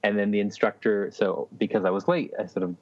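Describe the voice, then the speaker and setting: Man, lowish voice, podcast co-host, casual chat, anecdotal, slightly muffled recording. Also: fairly even intonation, fairly quick, no echo.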